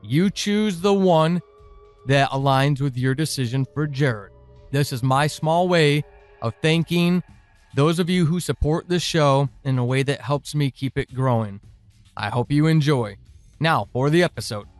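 A man's voice: a little high, measured pace. A man reading a scripted announcement as the creator of a podcast. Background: music.

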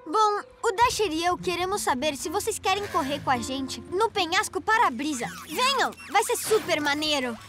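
A friendly boy motorbike from a cartoon, with a Brazilian accent. The voice is very high and full of swooping melody, excitable and earnest, over clear music. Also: normal speed, hardly any pauses. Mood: surprised, inviting. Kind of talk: cartoon dubbing.

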